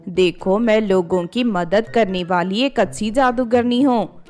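Scheming cartoon witch, put on by a woman, persuasive, bossy, unhurried, children's fairy tale. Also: mid-range voice, darkish tone, faint music behind, lightly acted. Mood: falsely kind. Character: scheming witch, sweet-talking then commanding her captives.

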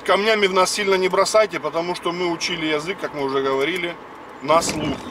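A man's voice: a little high, fairly even intonation, fast. A man in his fifties, host of a casual spoken lesson, explaining words one by one while driving. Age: 50s. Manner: chatty, explains word by word. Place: car on the road. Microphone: dashboard camera. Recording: distant car mic with road noise.

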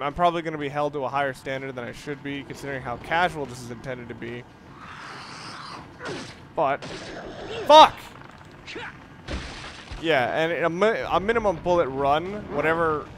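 A man with a slightly high voice talks through a video game in a casual let's play style. He explains tactics in a rambling, mildly profane way. There is faint noise with game ambience behind him. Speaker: gamer, let's play host. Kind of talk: casual gameplay commentary with strategy explanation. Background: video game sounds.

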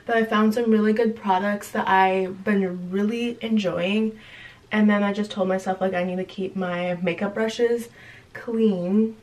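A woman's voice: mid-range voice, poor audio. A young woman, a college student, chatting casually to her phone camera as she vlogs her day at home and at work. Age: young adult. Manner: chatty, relaxed, trails off softly at times. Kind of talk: casual day-in-the-life vlog. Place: small apartment and office, indoors. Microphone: phone camera held close.

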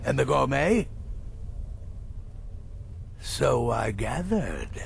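An actor playing a crotchety old assassin in a video game, croaky and theatrical, with wry, drawn-out phrases. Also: male voice, medium pitch, sing-song, a little hiss or hum, exaggerated voice. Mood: sly, gleeful. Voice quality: gravelly, croaky. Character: crotchety old male assassin, grudgingly approving. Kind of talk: video game character dialogue.

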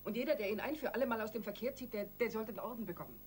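A woman's voice: fairly high pitch, racing, darkish tone, slight background noise, natural voice. An actress in a German radio crime drama playing a calculating, insistent wife who works on her husband with emphatic persuasion.

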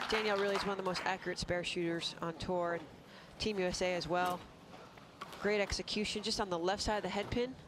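A man with a fairly high voice explains bowling technique shot by shot, analytical and detailed. Clear background noise runs under him. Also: fairly even intonation, fast, crowd noise. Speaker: color commentator. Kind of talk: analytical sports commentary.

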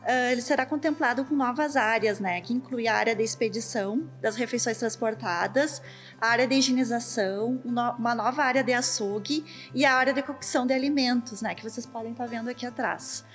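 Woman, 30s, brazilian portuguese accent, high voice, handheld mic, big empty kitchen, light music. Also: a little fast. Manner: explanatory, uses filler "né". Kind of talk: on-site interview. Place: large empty industrial kitchen. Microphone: handheld reporter mic.

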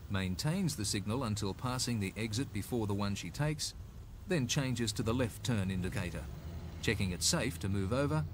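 A man's voice: fairly low pitch, a little hiss or hum. A man with an Australian accent narrating a scripted 1980s road safety film in an instructive, slightly folksy way.

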